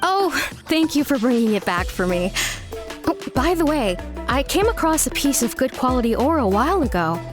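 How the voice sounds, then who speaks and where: Cheerful, high-voiced village woman. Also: sing-song, moderate pace, over music. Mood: cheerful, kindly. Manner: cheerful, kindly. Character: friendly, generous village woman who loves ores. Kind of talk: anime video game voice acting.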